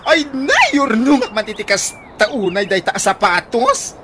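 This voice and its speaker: A woman's voice: medium pitch, expressive, a little slow, fairly bright tone. A woman playing a vain, envious, theatrical woman in a comedy radio drama, exclaiming and whining. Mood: boastful, dramatic. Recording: radio drama with clear background noise.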